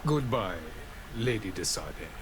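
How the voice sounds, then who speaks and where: Animated, courtly dignitary. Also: male voice, on the low side, takes it slowly, background noise. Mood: gracious. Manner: formal, courteous. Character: courtly male dignitary, stern yet gracious. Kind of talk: video game dialogue.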